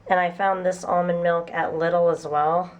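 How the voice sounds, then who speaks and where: A woman's voice: lowish voice, level tone, normal speed, poor audio. A young woman with a congested, stuffy voice, chatting casually and upbeat while filming a cooking vlog in a small apartment. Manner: chatty, upbeat, laughs often. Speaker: vlogger. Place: small apartment room and kitchen, indoors.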